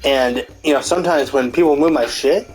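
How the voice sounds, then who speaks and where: A man's voice: medium pitch, normal speed, a little mellow, poor audio. A man in a casual, rambling commentary rant, full of fillers and restarts, swearing often, with a stuffy nose. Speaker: commentary YouTuber.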